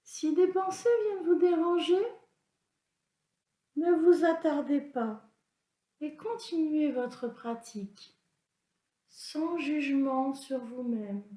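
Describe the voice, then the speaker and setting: A woman leading a guided yoga nidra relaxation as an instructor, in a calm, soothing, instructional manner. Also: high-pitched, takes it slowly, pauses often. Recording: roomy, echoing sound.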